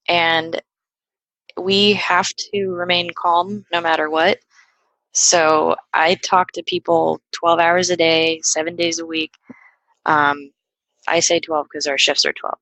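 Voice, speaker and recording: A woman's voice: fairly low pitch, fairly bright tone, no room sound, low-quality recording. A young woman guest on a podcast, talking casually and hesitantly with lots of fillers and restarts. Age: young adult. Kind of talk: casual conversational interview.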